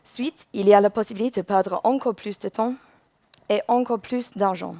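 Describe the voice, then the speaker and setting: A woman's voice: mid-range voice, normal speed, dark tone, no room sound. A woman from England, a native English speaker with an English accent, giving a prepared conference presentation as a user researcher.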